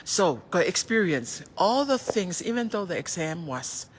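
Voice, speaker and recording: A woman's voice: fairly low pitch, big pitch swings, measured pace. Brief: middle-aged Tongan woman, native Tongan speaker, earnest livestream pep talk on a phone, faint hum behind. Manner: earnest, asks rhetorical questions and answers them; switches between languages mid-sentence. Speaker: livestream host. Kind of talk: motivational livestream chat.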